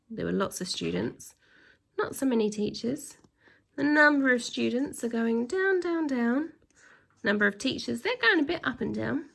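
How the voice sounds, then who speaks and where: Creaky-voiced woman reading a children's story. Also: fairly high pitch. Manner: expressive, addresses young listeners directly. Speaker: storybook reader, teacher. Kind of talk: children's story read-aloud with chatty asides.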